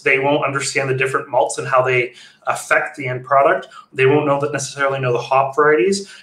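A man's voice: mid-range voice, sharp tone. A man in his thirties giving an informal webinar lecture as a brewery consultant, with some fillers and restarts. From Canada. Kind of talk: slide presentation lecture. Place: home room, indoors. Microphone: webcam video call. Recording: echoey room on a webcam mic.

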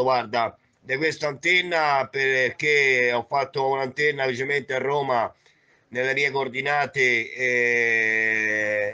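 A man's voice: fairly high pitch, pushed and bright. A man, an amateur radio operator, chatting casually and repeating himself as he rambles along.

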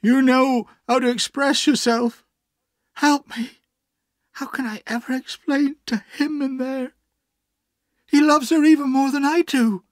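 A man's voice: very high-pitched, fairly even intonation, measured pace, long pauses, no echo, studio quality, voice pushed up. A man voicing an honest young working man in a storybook, anguished, pleading and in despair.

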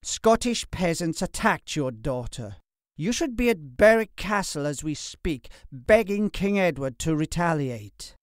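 A man's voice: medium pitch, measured pace, few pauses, a little mellow, no echo, studio quality, lightly acted. A man voicing an arrogant, contemptuous English lord with a haughty, sneering delivery in an audiobook. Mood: smug, scornful. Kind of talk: audiobook character acting.

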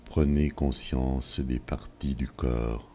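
Very deep, slow-spoken man guiding relaxation. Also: a little hiss or hum. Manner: calm, measured instructions with long pauses. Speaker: yoga nidra guide. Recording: soft music behind. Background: soft ambient music.

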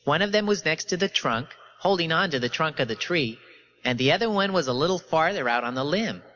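An unstable schoolboy witness, acted by a man narrating a novel, speaks with lifted pitch and waggish energy. He sounds falsely confident and eerily bright. The recording is a little dull. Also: expressive, brisk. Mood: waggish, deranged. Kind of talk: audiobook character reading.

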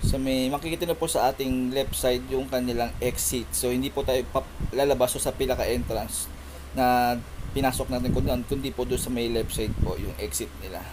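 A man's voice: mid-range voice, steady tone, fairly quick. A young man giving a polite, chatty walkthrough of a coffee shop, filmed on a handheld phone. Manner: chatty, polite tour-guide narration. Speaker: vlogger. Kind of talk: casual walkthrough vlog. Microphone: handheld phone camera. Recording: noisy cafe with air conditioning.

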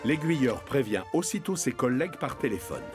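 A man's voice: medium pitch, very animated, brisk. A man narrating a scripted children's story. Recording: music behind the narration.

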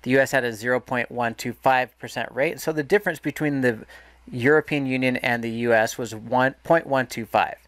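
A man's voice: medium pitch, brisk, fairly bright tone, no echo. A man walking through a market analysis lesson over a screen recording, explaining step by step with restarts and self-corrections. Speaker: market educator.

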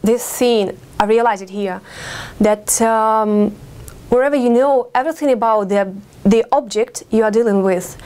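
A young woman in her twenties from Ukraine with a Ukrainian accent, giving thoughtful interview answers as a doctoral exchange student and pausing often with fillers. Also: a little high, a little mellow. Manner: thoughtful, many fillers and pauses. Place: studio with campus backdrop.

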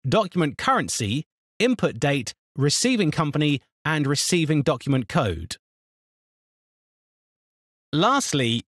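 A man narrating a scripted software tutorial in an instructional, step-by-step manner. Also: fairly high pitch, dry recording, clean studio sound.

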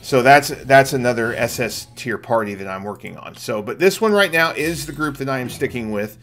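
A man's voice: mid-range voice, expressive, a little fast, fairly bright tone. A middle-aged man giving casual live gameplay commentary, explaining things to viewers as he streams. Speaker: game streamer and host. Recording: game music behind the voice.

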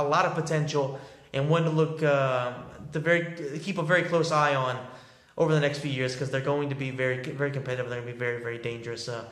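A young man in his twenties with a slightly high voice gives an off-the-cuff sports reaction. He talks conversationally and doubles up words to stress his points. The sound is echoey, as from a phone or webcam at arm's length in a small bare room. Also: a bit bright. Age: 20s. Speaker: sports commentator giving a post-match reaction. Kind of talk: casual unscripted analysis to camera.

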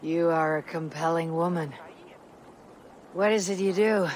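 A deep female voice, an actress playing a game role as a bold Viking warrior. She is blunt, measured and resolute, with a faint noise in the background. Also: a little mellow, natural voice. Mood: sly, flirtatious. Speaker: game protagonist. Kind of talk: dramatic video game cutscene acting.

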